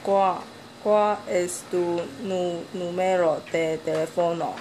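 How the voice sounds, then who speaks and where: A woman off camera reading interview questions slowly, with small stumbles. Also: fairly low pitch, slight background noise, low-quality recording. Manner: slow, flat reading with stumbles.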